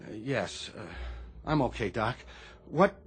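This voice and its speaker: An American man acting in a radio drama as a troubled, dazed navy chief who speaks haltingly and uneasily. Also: mid-range voice, lively intonation, soft background music. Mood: dazed, uneasy. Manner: halting, faltering.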